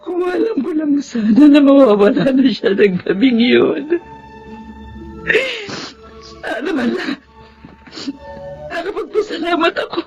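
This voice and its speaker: A woman's voice: high voice, animated, measured pace, long pauses, darkish tone, dull audio, faint music behind. A Filipino woman playing a tender, grieving middle-aged widowed mother in a radio drama. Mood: tearful, regretful.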